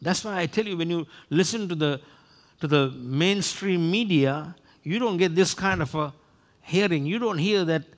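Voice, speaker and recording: A man's voice: sits high, fairly bright tone. A man preaching a sermon emphatically, repeating phrases for effect, on a headset mic. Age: 60s. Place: church stage, indoors.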